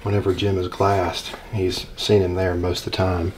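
Easygoing young male hunting host, deep mellow voice, flat steady delivery, faint hiss behind. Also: moderate pace. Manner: easygoing, plainspoken, talks his plan through. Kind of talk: casual on-camera hunting talk. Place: inside a small hunting blind.

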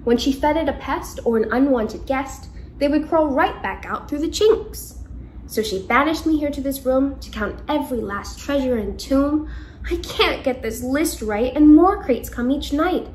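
A woman's voice: high voice, measured pace, darkish tone, slight background noise, rough recording. A woman voicing a friendly but timid, mournful boy ghost from a storybook in a dramatic, plaintive way. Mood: glum.

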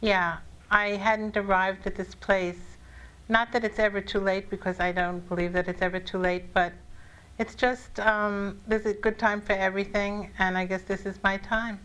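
Clear-voiced, reflective woman in casual conversation. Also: medium pitch, level tone, normal speed, fairly bright tone, rough recording. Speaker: guest author. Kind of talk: casual live talk show chat.

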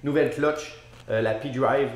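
A man in his thirties with a Québécois French accent, a dealership specialist explaining a snowmobile quickly and confidently in a metal-walled workshop. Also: mid-range voice, steady tone. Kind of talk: technical explanation in interview. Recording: echoey workshop.

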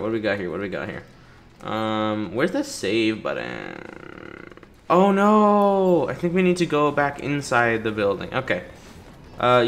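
Animated, chatty man commentating a video game. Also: on the high side. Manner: chatty, slangy, playful, talks to game characters. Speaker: gaming YouTuber doing a playthrough. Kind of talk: casual gameplay commentary. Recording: light game music behind. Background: video game music.

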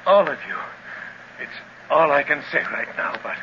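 Male actor: feverish rescued prisoner, 1930s radio drama, raised pitch, dull lo-fi sound. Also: lively intonation, natural voice. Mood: weak, feverish. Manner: halting, breaks off mid-sentence. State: weak and faint from fever. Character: feverish kidnapped male investor, weak and grateful. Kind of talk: 1930s radio serial acting. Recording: dull old radio transcription with faint hiss.

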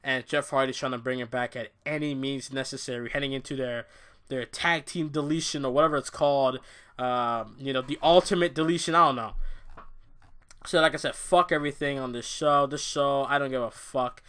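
Male wrestling-show reviewer, off-camera, bright edgy voice, foul-mouthed and ranting. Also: medium pitch, steady tone, a little fast, smooth. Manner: blunt, swears a lot, ranting and exasperated. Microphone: off-camera voice-only recording.

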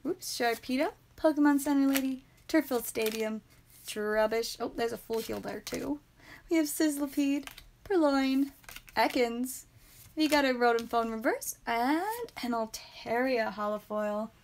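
A woman hosting a live card pack-opening stream, chatting playfully and saying sound effects aloud, with a calming voice. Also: a little high, unhurried, slight background noise. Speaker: trading card stream host. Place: indoor room with whiteboard.

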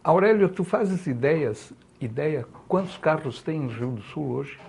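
A man, a guest on a studio talk show, explaining things in a slow, deliberate way. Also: on the high side, expressive, vocal fry, a little mellow, breathy edge. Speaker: guest, charity president. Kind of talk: interview answers. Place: TV studio set, indoors.

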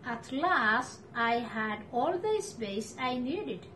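A middle-aged woman reading a picture book aloud to children, with deliberate, expressive phrasing and pauses. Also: on the high side, slight background noise. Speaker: storytime reader for children. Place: living room at home, indoors.

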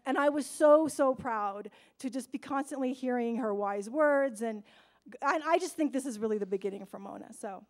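A woman's voice: fairly high pitch, normal speed. A woman emceeing a stage event in a large hall, introducing the next speaker at a lectern with playful asides to the audience. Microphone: lectern microphone seen from a far camera.

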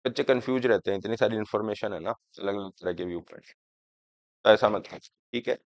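A man in his thirties teaching an exam prep class, with a hoarse throat from a cold, slowly explaining each point and checking that students follow. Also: lowish voice, no echo. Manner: explaining slowly, often asking students if it is clear. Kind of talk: lecture on current affairs, Hindi mixed with English. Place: studio classroom, in front of a screen. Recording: dry, slightly dull sound.

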